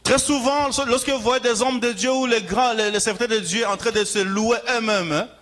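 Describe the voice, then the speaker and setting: A man interpreting a sermon live, phrase by phrase, in a halting way, often repeating and rephrasing himself. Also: very high-pitched, a bit bright.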